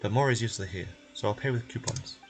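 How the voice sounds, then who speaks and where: A man's voice: lowish voice, quick pace, close to an everyday voice. Man playing a formal, wordy scholar. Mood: matter-of-fact.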